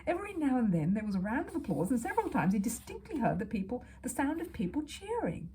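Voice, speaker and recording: A woman reading a children's story aloud as an expressive narrator. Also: a little high, quick pace, slight background noise, low-quality recording.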